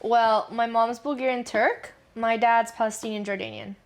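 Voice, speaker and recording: A young woman from Dubai with a somewhat high voice talks frankly as a student guest. She answers in a chatty, casual way and laughs easily between points. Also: steady tone, normal speed. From Dubai, UAE. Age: young adult.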